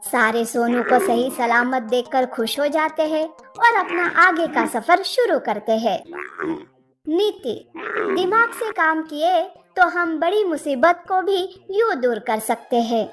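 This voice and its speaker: A woman's voice: sits high, expressive, slow pace, a bit bright. A woman narrating a scripted children's story as a storyteller, with faint music underneath.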